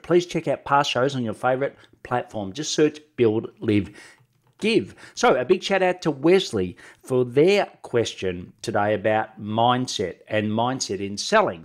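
A middle-aged man with a head cold and a nasal voice, giving conversational advice as a solo podcast host, talking directly to the listener and often checking in with short tag questions. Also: medium pitch. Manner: direct address with frequent tag questions like "right?". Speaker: podcast host and sales coach.

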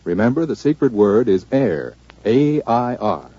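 A man making an old-time radio announcement with deliberate enunciation. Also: mid-range voice, very animated, dark tone, husky. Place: off stage, away from the audience. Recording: dull old radio recording.

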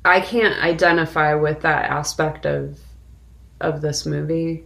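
A woman co-hosting a podcast, talking casually about films and pausing deliberately mid-sentence. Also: deep voice, steady tone, vocal fry, a bit bright. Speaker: podcast co-host. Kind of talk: casual film discussion.

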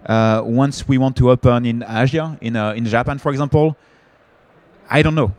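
A man's voice: medium pitch, fairly even intonation, normal speed. A man with a French accent speaking on a conference panel, talking with frequent fillers and restarts. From France. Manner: hesitant, many fillers and restarts. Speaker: panelist, company founder.

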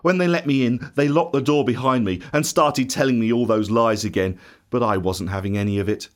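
A man playing a bitter, grief-stricken disciple in a dramatic first-person monologue, emotional and theatrical, swinging from hushed to shouting. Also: mid-range voice, a little fast, natural voice. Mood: subdued. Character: anguished, bitter doubting male disciple recounting his grief.

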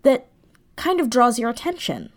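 Thoughtful, halting woman in casual conversation. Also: on the high side, wildly swooping pitch. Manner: thoughtful, halting, circles back over phrases. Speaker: interview guest, audio drama creator. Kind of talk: casual, reflective interview chat.

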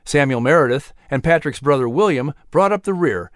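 A man narrating a children's audiobook in an expressive storytelling manner. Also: on the high side, fairly quick, dry recording, clean studio sound.

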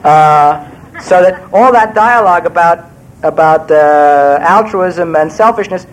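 Wry older New man, slightly high voice. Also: a little slow, rough recording. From New York. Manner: wry, digressive, self-deprecating. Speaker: screenwriter guest speaker. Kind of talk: Q&A talk to an audience.

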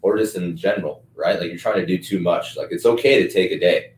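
A man's voice: low voice, a little fast. A man coaching and hosting a casual podcast chat, talking in a loose, filler-heavy way. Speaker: strength coach and host. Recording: echoey room, mic at a distance.

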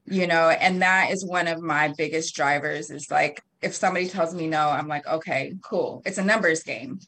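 A woman's voice: sits low, normal speed, fairly bright tone, husky, rough recording. A woman in her thirties talking casually as an interview guest, chatty with frequent laughs and fillers. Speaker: guest, marketing and PR company founder.

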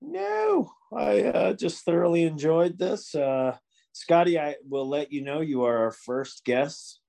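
A man's voice: on the high side, big pitch swings, takes it slowly, vocal fry, mellow. A man with a nasal voice and an upstate New York accent, hosting a podcast in easygoing, self-deprecating chat. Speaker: podcast host. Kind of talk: casual chat.

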